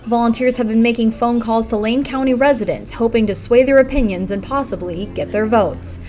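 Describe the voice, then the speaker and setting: High-voiced woman reporting the news. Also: a little fast, dark tone. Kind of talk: scripted broadcast news reporting.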